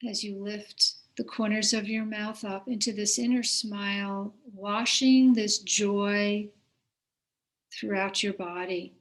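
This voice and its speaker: A woman teaches a guided meditation in a medium-pitched voice. She gives her instructions gently and without hurry, leaving pauses between short phrases. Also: steady tone, rough recording.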